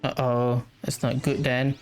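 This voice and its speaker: A man's voice: medium pitch, little pitch movement, measured pace, soft background music. A man giving casual playthrough commentary on a game, muttering offhand reactions. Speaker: gamer commenting on gameplay.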